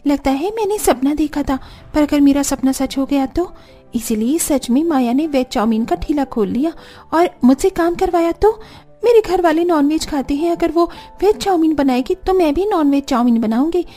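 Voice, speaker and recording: Woman voicing a high-pitched jealous young daughter-in-law. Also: expressive, normal speed, mellow, soft background music. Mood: indignant, scheming. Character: jealous, stubborn young elder daughter-in-law, boastful and easily flustered. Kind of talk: Hindi cartoon story dialogue.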